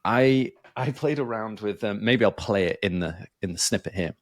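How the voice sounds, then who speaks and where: A man's voice: lowish voice, moderate pace, no echo, clean studio sound. A man hosting a podcast in casual chat, rambling with many fillers and restarts. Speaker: podcast host.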